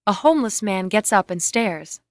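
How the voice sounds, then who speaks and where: A woman's voice: medium pitch, lively intonation, normal speed, no echo, studio quality. A woman reading scripted, screenplay-style narration and stage directions.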